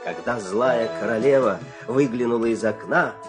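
A man narrating a fairy tale dramatically, expressive, with measured pauses. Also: mid-range voice, over music.

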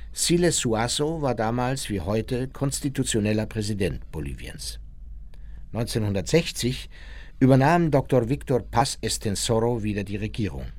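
A man's voice: medium pitch, darkish tone, close to an everyday voice. An actor playing a self-justifying German Nazi war criminal, narrating his memoirs in a composed, matter-of-fact way for a radio drama.

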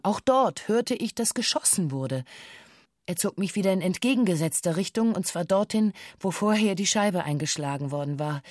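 A slightly low female voice acted for radio gives a hostage's witness statement. She is sober and exact, with formal, official wording. The recording is dry, as in a documentary radio play. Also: darkish tone, dry recording, lightly acted. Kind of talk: documentary radio drama, reading a police testimony.

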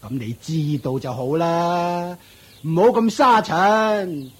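Husky, scornful Cantonese uncle. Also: male voice, on the high side. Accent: Hong Kong Cantonese. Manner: mocking, belittling, drawn-out taunts. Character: scornful, nagging uncle who runs a shop. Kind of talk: Cantonese radio drama acting.

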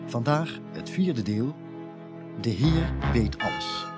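Male announcer, lively intonation, deliberate delivery, radio drama titles, prominent music behind. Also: mid-range voice.

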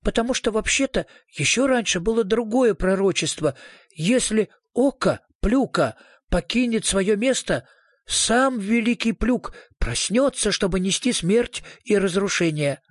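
A man voicing a skinny little excitable tribesman with an ominous manner in a storybook reading. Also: sits high, unhurried, dry recording, clean studio sound, pitched above the performer's own voice. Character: thin little tribesman, excitable prophecy-bearer.

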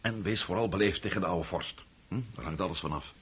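A man's voice: deep voice, a little fast, fairly bright tone. An actor playing a pompous, scheming older aristocratic father in a radio drama, on a hissy old recording. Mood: admonishing. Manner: pompous, admonishing.

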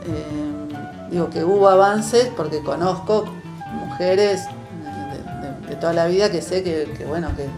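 An Argentine woman with a low voice talks reflectively, as in an interview. She searches for words with hesitations, in a roomy recording over clear guitar music. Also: huge pitch swings, a little slow. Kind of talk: reflective spontaneous interview talk. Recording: roomy sound with music behind.

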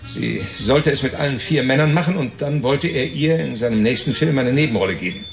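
Schauspieler als zynischer, derber Strippenzieher im Noir-Hörspiel. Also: male voice, medium pitch, a little fast, vocal fry, soft background music, natural voice. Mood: matter-of-fact recounting. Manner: coarse, tough-talking. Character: slick, crude, cynical male fixer in an office. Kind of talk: noir radio drama acting.

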